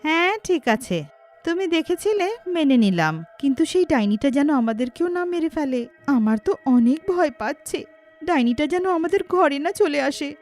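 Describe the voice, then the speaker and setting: A woman voicing a sharp-tongued, grumbling village wife in a cartoon, dramatic in manner. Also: sits high, expressive, normal speed, soft background music, pitched above the performer's own voice. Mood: worried. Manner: scolding, dramatic. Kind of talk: cartoon character acting.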